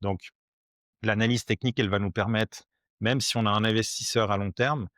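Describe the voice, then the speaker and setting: A man in his forties from Switzerland, a podcast guest explaining things simply in conversation, haltingly and with repeated words. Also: fairly low pitch, fairly even intonation, fairly bright tone, no echo, clean studio sound. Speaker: podcast guest, crypto educator.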